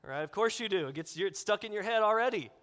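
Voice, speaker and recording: Pastor, male, high and nasal with sinus congestion, preaching in a long-reverb church hall. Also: animated, fast, a bit bright. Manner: conversational preaching with fillers. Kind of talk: sermon. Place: church sanctuary.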